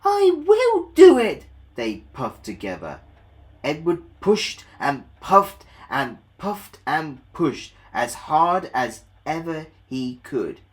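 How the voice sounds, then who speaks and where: A man reads a children's story aloud at an unhurried pace. His pitch is middling and moves a great deal, giving an animated, expressive storybook delivery. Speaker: storybook narrator. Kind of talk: expressive read-along children's story narration.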